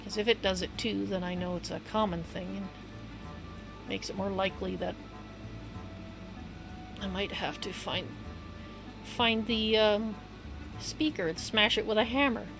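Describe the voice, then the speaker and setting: A woman livestreaming a game, chatting in a loose, rambling way with a hoarse voice and easy laughs. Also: medium pitch, unhurried, pauses often. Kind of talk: casual rambling chat while gaming. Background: upbeat game music.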